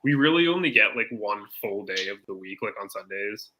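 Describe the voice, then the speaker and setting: Man, thirties, from Oshawa, casual guest, filler-heavy, bright timbre. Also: fairly low pitch, a little fast. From Oshawa area, Ontario, Canada. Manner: relaxed, filler-heavy, lots of "like". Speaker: guest, band member. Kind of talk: casual video chat interview.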